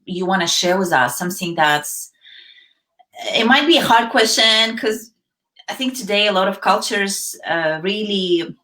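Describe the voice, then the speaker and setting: Bright-voiced enthusiastic woman host. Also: mid-range voice, measured pace. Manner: enthusiastic, rambling questions with many fillers. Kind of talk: casual live-stream interview.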